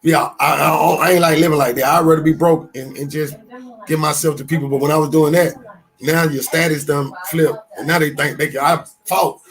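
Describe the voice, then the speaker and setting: A man in his fifties with a fairly high voice, talking loosely on a podcast. He stays animated and profane, stumbles over restarts, and keeps circling back into his stories. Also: brisk. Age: 50s. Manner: animated, swearing, storytelling with restarts. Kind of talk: casual podcast talk.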